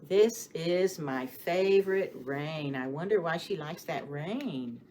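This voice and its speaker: A woman reading stories and rhymes aloud for young children as a storytime host, with a sing-song, expressive delivery, often putting questions to the children. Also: low-pitched. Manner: addresses children directly with questions and pauses for answers. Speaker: children's librarian hosting storytime. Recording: clearly audible background noise.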